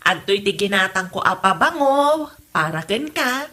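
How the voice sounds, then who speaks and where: A woman's voice: medium pitch, big pitch swings, measured pace, pushed and bright. A woman with an Ilocano accent playing a considerate, polite younger woman visiting an older couple in a comedy audio drama. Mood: friendly.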